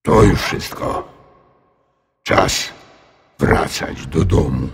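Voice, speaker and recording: A man voicing a puzzled, fair-minded dragon in a children's audio story, pondering in a measured way. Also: rumbling low, gravelly, husky, long reverb tail, pitched below the performer's own voice, exaggerated voice. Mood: relieved.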